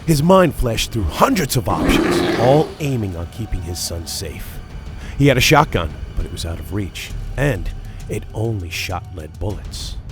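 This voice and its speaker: A man reading a scripted horror story as narrator, with dramatic storytelling delivery. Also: on the low side. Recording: steady background noise of rain ambience.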